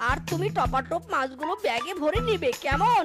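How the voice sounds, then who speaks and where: A very high, squeaky cartoon fox, a sly husband and would-be thief. He exclaims, brags and whines in an excitable way, with loud children's music behind him. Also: moderate pace. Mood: scheming. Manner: exclaiming, boastful, whiny when in trouble. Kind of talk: cartoon voice acting.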